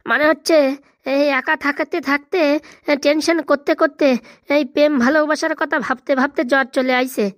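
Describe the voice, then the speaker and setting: A woman's voice: high-pitched, fairly even intonation, normal speed, no echo, clean studio sound, natural voice. A woman voicing a brash village doctor in a comic cartoon, boastful and emphatic. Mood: knowing.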